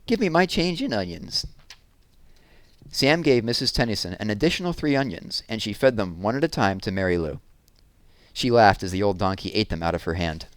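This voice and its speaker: Low-voiced man reading a story aloud. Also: animated, a little fast, clear, resonant tone, no room sound. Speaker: read-aloud reader. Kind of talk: scripted storybook narration.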